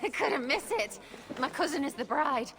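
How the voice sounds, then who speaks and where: A woman playing a gracious, gently probing villager of the Viking age in a video game cutscene. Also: sits high, very animated, normal speed.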